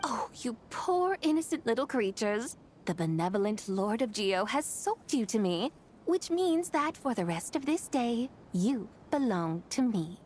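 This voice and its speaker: High-voiced, haughty, teasing immortal. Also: female voice, sing-song, a little slow, darkish tone, a little hiss or hum. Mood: teasing, amused. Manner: arch, teasing, formal old-fashioned diction. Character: haughty, amused immortal woman, elegant and teasing. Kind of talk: video game voice acting.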